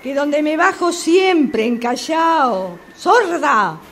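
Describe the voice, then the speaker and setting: Actress doing argentine lady on radio drama, highish voice, gossipy n fed up, repeats herself, noisy recording. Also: lively intonation, drawn-out words, exaggerated voice. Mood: exasperated. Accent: Rioplatense Argentine. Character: chatty, aggrieved woman street vendor on a subway phone call. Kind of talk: radio theatre monologue acting. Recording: clearly noisy amateur workshop recording.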